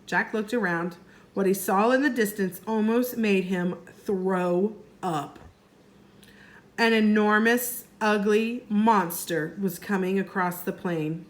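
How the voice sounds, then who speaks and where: A woman, a first grade teacher, reading a storybook aloud expressively and deliberately for young children. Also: medium pitch.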